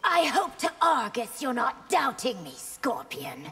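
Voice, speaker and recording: An imperious young empress in a fighting game. She is high and very raspy, slow and swooping, with a taunting, threatening edge. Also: very animated, fairly bright tone, faint music behind, full character voice. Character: haughty, threatening young empress in fang mask. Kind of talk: dramatic fighting game dialogue.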